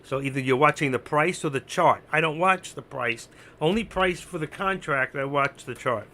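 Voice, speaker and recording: A man with a gravelly, hoarse voice that is giving out, doing unscripted live trading commentary, thinking aloud, repeating himself and instructing his viewers. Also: on the high side, moderate pace, pushed and bright. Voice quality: gravelly, creaky. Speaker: live stock-trading streamer.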